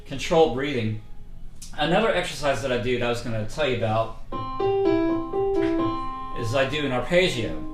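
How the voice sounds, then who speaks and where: Male vocal coach, mid pitch, casual livestream lesson full of fillers, roomy echo. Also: moderate pace, pauses often, music in the background. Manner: relaxed, conversational, many fillers. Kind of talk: casual instructional talk. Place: indoors, livestream setup.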